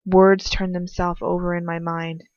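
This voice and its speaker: A woman's voice: fairly low pitch, flat delivery, a little slow, darkish tone, dry recording, muffled recording. A woman reading a fan story aloud with chatty, off-hand asides to her listeners. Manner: chatty, laughing at her own tangents. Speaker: fan fiction reader.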